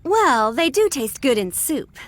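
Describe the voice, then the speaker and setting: Brusque, higher-voiced rebel fighter. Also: female voice, very animated, measured pace, no room sound. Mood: impatient, confident. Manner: curt, sardonic. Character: brusque, confident, sarcastic female rebel fighter. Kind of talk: video game voice acting.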